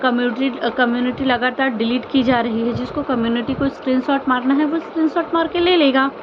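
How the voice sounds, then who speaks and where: High-voiced woman vlogger over muffled, noisy audio. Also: fairly even intonation, a little fast. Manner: earnest, pleading, repeats phrases and rhetorical questions. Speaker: vlogger commenting on online family drama. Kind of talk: informal opinion commentary addressed to viewers.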